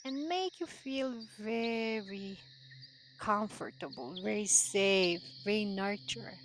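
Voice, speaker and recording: A woman leading a guided meditation in a soothing, gentle manner, with drawn-out phrases and long pauses. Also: medium pitch, animated, slight background noise. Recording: voice over prominent background music. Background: meditation music.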